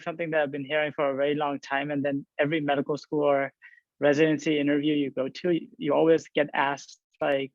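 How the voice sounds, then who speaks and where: A man's voice: on the high side, gravelly, no room sound, muffled recording. A man, answering panel questions thoughtfully with many fillers. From Pakistan. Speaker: panel guest, resident surgeon.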